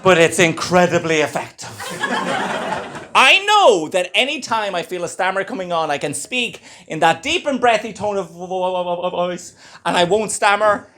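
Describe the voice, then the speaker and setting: A man's voice: high-pitched, lively intonation, moderate pace, pushed and bright. A young Irish man with a stammer doing a stand-up comedy set in a club. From Ireland. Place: comedy club before a laughing crowd.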